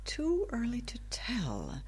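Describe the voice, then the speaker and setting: A woman's voice: on the high side, huge pitch swings, takes it slowly, darkish tone, breathy edge, exaggerated voice. An actress playing a smitten, wistful older woman with a mischievous streak, speaking in wry, wordy, old-fashioned phrases in an audio drama.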